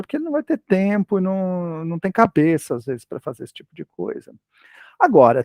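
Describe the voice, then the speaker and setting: A man's voice: on the high side, darkish tone, no echo, clean studio sound. A middle-aged man explaining language teaching methods in a casual academic talk, hesitant and restarting often, on a video call.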